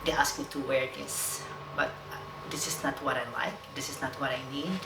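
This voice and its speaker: A woman from the Philippines, speaking in a measured, deliberate way in a documentary interview. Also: very deep voice. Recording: roomy, distant mic, noise.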